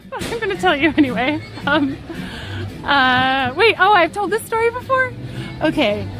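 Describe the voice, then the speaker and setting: A woman's voice: high-pitched, very animated, unhurried. A woman live-streaming from a theme park, telling a story in a chatty, giggly, self-deprecating way. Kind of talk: casual storytelling to a live chat. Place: outdoors at a theme park on a rainy day. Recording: park music and crowd noise behind.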